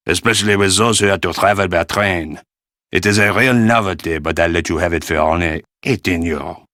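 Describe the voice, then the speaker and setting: A French curio dealer from a video game, with a deep voice and a bright, forceful edge. He is fussy and courtly, a theatrical haggler with a French accent, recorded close and dry. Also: no echo. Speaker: shopkeeper. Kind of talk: video game character acting.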